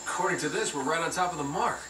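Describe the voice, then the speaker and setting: An actor playing a cocky, eager male adventurer in his thirties in a video game, teasing and quick with a joke. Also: a little high, far from the mic, close to an everyday voice. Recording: game audio played in an echoey room, music behind.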